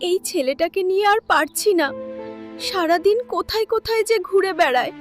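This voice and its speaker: A woman's voice: very high-pitched, animated, fairly quick, faint music behind. A woman voicing a fretful, caring village mother in a cartoon fairy tale. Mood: scolding, worried.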